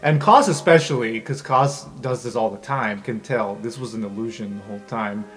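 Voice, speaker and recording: A man's voice: fairly low pitch, normal speed. A man narrating an improvised tabletop roleplay game as game master. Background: soft music.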